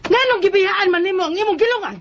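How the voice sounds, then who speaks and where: A bossy lady teacher and employer in a Filipino radio drama. The voice is high-pitched, with a Bisaya accent and an air of authority. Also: fast, a little hiss or hum. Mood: angry, scolding. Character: bossy female schoolteacher employer, quick to scold.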